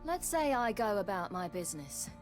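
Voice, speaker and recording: A woman's voice: mid-range voice, very animated, moderate pace, faint music behind, lightly acted. An actress playing a confident young wandering swordswoman in a dramatic video game, speaking in a cool, terse, teasing manner. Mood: guarded, wry. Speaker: voice actor in a game.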